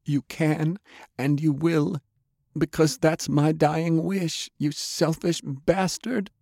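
A male narrator plays an undead woman in an audiobook drama, her voice lifted high and soft. She pleads with sorrow and tenderness, yet stays resolute. The sound is close and dry. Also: fairly even intonation, slow, creaky, dry recording, full character voice. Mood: tender insistence. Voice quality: trembling.

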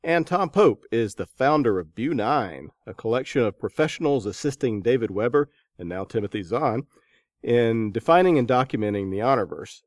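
A man's voice: medium pitch, lively intonation, normal speed, dry recording, studio quality. A man hosting a podcast, introducing guests and putting interview questions to them.

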